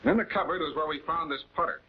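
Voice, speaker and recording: An actor playing a composed, methodical male prosecutor, speaking with deliberate authority in a 1940s television crime drama. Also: on the high side, noisy background, natural voice. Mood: explaining, firm. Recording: dull 1940s film soundtrack.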